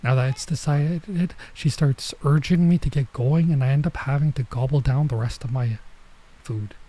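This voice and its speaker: A man streaming a visual novel, reading it aloud with casual commentary and thinking out loud as he goes. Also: medium pitch, moderate pace, low-quality recording. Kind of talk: let's play read-aloud with casual commentary.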